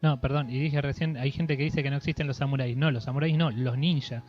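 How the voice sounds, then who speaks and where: Male host, Argentine accent, medium pitch, casual interview chat, with music behind. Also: fairly even intonation, fast talker, darkish tone. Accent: Argentine Spanish. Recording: dull lo-fi audio with music behind.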